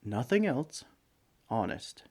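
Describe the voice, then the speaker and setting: A man's voice: mid-range voice, big pitch swings, takes it slowly, darkish tone. A man playing a broke, bumbling young villain neighbor in a comedic audio roleplay, rambling sheepishly and hesitantly as he talks to one listener. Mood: defensive, pleading. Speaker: roleplay voice actor.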